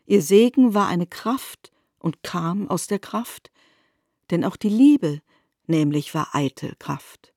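A woman narrating literary prose in a measured, formal manner, as in a scripted radio production. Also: fairly low pitch, lively intonation, dark tone, no room sound, studio quality.

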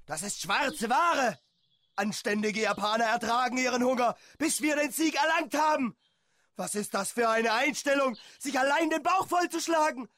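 Ein Schauspieler spielt im Hörspiel einen bellenden, fanatischen japanischen Amtsträger voller Verachtung und Wut. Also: male voice, very high voice, moderate pace, sharp tone. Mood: accusing. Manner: barking, hectoring. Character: bullying, fanatical Japanese authority man, contemptuous and furious. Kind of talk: German radio drama acting.

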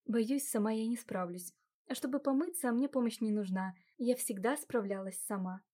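Woman doing a soft-spoken gentle young heroine. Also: a little high, normal speed, lightly acted. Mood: calm.

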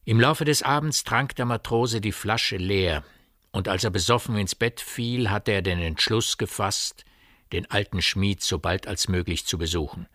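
A man narrating a radio drama as a literary storyteller, in a measured manner. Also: on the low side, a bit bright, no echo, clean studio sound. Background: music.

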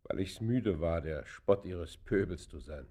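An actor playing a proud, defiant traveller under arrest in a German radio play, answering in a terse, haughty, guarded and formal manner. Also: male voice, low voice, animated, a little slow, dark tone, full character voice.

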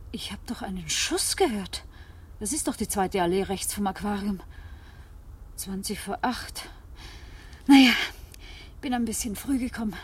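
A woman's voice: medium pitch, darkish tone, a little hiss or hum, lightly acted. An actress playing a nervy, cold-blooded woman who talks to herself theatrically in a 1970s German radio play. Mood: musing. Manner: theatrical, talking to herself in exclamations. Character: Françoise, cold-blooded nervous young Parisian blackmail victim turned killer.

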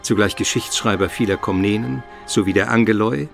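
A man's voice: lowish voice, brisk, creaky. A man playing a learned, courteous Byzantine court historian, formal and eloquent, in a German radio drama. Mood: dignified. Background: music.

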